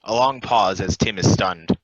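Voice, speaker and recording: A man with a lowish voice narrates the stage directions of a play reading. The recording is dry, with no room around it, and slightly muffled and lo-fi. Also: measured pace, dry recording. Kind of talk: scripted narration, play table read.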